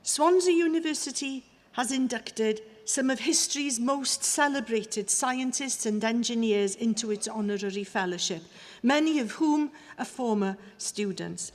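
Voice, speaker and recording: A woman with a Welsh accent delivers a measured ceremonial oration at a lectern, her voice sitting a little high. The sound carries through a large hall with a long, ringing reverb. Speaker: university orator presenting an honorary graduate. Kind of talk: formal ceremonial speech, switching between English and Welsh.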